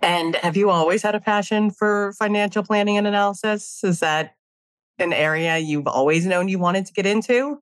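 A woman hosting a business podcast, reading a measured guest introduction and asking interview questions. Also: mid-range voice, dry recording. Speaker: podcast host.

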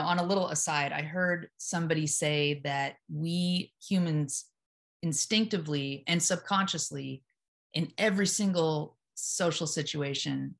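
Woman, low voice, gravelly, calm, deliberate, interview guest. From Memphis, US South. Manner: calm, deliberate, steady delivery. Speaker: hypnotherapist at a psych hospital, guest. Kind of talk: casual interview conversation.

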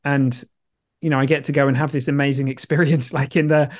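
A man's voice: mid-range voice, little pitch movement, brisk. A man co-hosting a podcast, a coach who talks in a rambling, chatty way. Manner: rambling, chatty, self-deprecating. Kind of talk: casual podcast chat.

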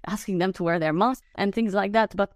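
Soft-spoken woman podcast host. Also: brisk, no room sound. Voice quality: low, soft voice. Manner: laughs often, hesitant with fillers. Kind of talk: casual conversational chat.

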